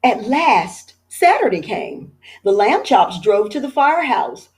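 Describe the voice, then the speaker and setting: A woman, a teacher, reading a children's picture book aloud in an expressive storytelling manner. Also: a little high, a little slow.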